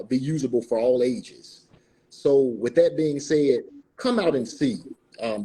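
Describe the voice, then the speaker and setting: Man, husky, soft-toned, formal, measured, earnest guest. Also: medium pitch, low-quality recording. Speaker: stage actor, interview guest. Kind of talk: earnest promotional remarks.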